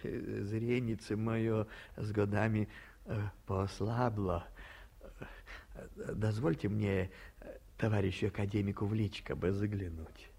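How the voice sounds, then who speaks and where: A man's voice: fairly low pitch, steady tone, measured pace, vocal fry, warm tone, breathy edge, heavily acted. An actor playing a garrulous, emotional old provincial man in a 1950s radio play, with folksy old-fashioned speech. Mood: wheedling. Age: older. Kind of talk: 1950s radio drama acting.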